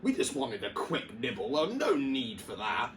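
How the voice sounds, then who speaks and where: A man's voice: mid-range voice, huge pitch swings, moderate pace, a bit bright, slight background noise. Man putting on grumbly, mumbling storybook bears. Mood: grumbling, hurt. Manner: mumbling, slurping, complaining.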